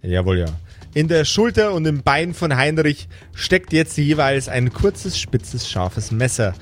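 A man's voice: a little high, big pitch swings, a little slow. A man improvising vivid narration as game master of a fantasy tabletop game, slipping into colloquial table talk. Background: background music.

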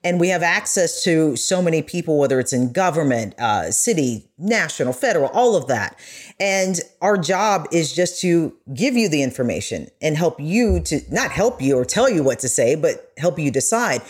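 A woman's voice: sits low, very animated, normal speed, slightly rough. A middle-aged woman, a journalist guest, speaking earnestly and emphatically in a conversational interview.

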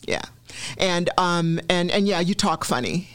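A woman's voice: on the low side, animated, a little slow, fairly bright tone. Reflective Nigerian-born woman, many ums. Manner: reflective, with frequent ums and restarts. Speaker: guest, newspaper columnist. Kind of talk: conversational interview.

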